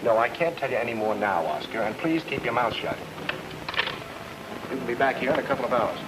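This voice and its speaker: Man playing a terse hard-boiled radio detective, mid-pitched. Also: fairly quick, background noise, close to an everyday voice. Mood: brisk. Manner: terse, businesslike, commanding. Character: brisk, confident hard-boiled private detective. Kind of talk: old-time radio noir acting. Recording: old radio recording with music.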